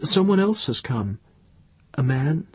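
Man voicing a hesitant, husky, higher-pitched young woman. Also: very animated, darkish tone, no room sound, heavily acted. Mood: anxious, questioning. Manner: hesitant, halting. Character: shy, earnest young woman, awed and uneasy.

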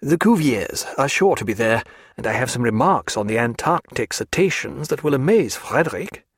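A male narrator voicing a reserved, earnest naturalist who speaks in a measured, formal way. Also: medium pitch, expressive, breathy edge, no echo, studio quality.

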